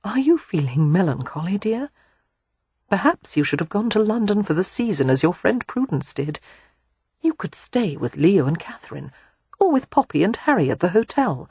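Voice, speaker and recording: A woman voicing a gentle, caring older sister who speaks softly and kindly. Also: mid-range voice, big pitch swings, normal speed, breathy edge, no room sound, exaggerated voice. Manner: soft, kindly.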